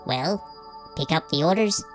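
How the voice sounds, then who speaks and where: A man's voice: fairly high pitch, animated, measured pace, faint music behind. A man voicing a casual male first officer on a spaceship, offhand and questioning, in pulp science fiction storytelling. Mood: casual, quizzical.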